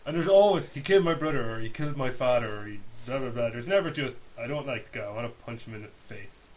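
A man's voice: mid-range voice, animated, fast, darkish tone, a little hiss or hum. A man co-hosting a podcast in casual joking chat, blunt and flippant, with some swearing. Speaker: podcast co-host. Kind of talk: casual joking chat about films and games.

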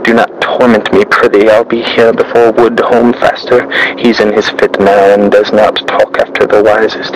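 A man's voice: on the low side, noisy background, lightly acted. A man doing a boastful drunken sailor. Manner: archaic theatrical recital. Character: boastful drunken male sailor reciting old verse. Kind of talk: reading a classic play aloud.

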